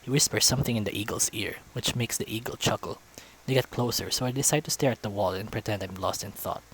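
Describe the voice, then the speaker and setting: A man's voice: fairly low pitch, fast, fairly bright tone, slight background noise, poor audio. A man reading visual novel narration aloud in a storytelling style. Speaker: visual novel narrator, let's-play reader.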